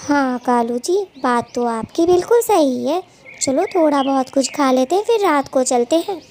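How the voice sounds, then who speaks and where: A woman's voice: high voice, lively intonation, moderate pace, darkish tone, a little hiss or hum. A woman voicing a bossy, quarrelsome female cartoon bird with a scolding, taunting way of talking. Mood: wheedling, scheming. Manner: scolding, taunting, colloquial bickering. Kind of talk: Hindi cartoon story dialogue.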